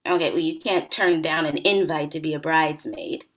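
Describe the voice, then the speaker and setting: A woman's voice: deep voice, fairly even intonation, normal speed, natural voice. An actress in her early thirties playing a wry, teasing, hard-drinking bridesmaid in a comedy table read.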